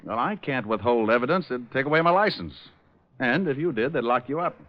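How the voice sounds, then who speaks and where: A man's voice: medium pitch, expressive, fairly quick, no room sound, natural voice. An actor playing a tough, wisecracking male private detective, wry and breezy, in 1940s radio style. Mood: businesslike, curious. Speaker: lead actor and first-person narrator. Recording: dull vintage radio recording with music.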